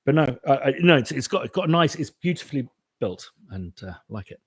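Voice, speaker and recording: A man's voice: mid-range voice, sing-song, a little fast, breathy edge, dry recording. A British man answering viewers' questions in a casual live chat, rambling with many restarts and fillers. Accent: British English. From Britain. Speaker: composer hosting a live Q&A.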